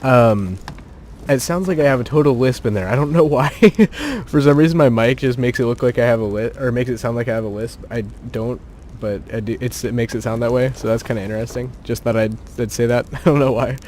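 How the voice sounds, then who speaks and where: A chatty man narrating gameplay at home into a desk mic, thinking out loud and laughing often. His voice is mid-pitched, with faint noise in the background. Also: fairly quick. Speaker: gaming channel host. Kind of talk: casual gameplay commentary. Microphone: home desk mic without pop filter.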